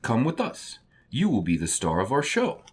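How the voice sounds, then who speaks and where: A man voicing a bombastic, domineering puppet master villain in a storybook, loud and theatrical. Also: mid-range voice, huge pitch swings, normal speed, breathy edge. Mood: coaxing.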